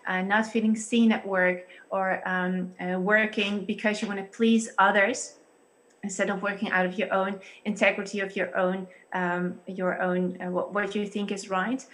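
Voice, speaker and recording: A woman in her thirties with a Dutch accent, a pastor and psychologist explaining things carefully and haltingly over a video call. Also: medium pitch, level tone, a bit bright. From Netherlands. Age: 30s.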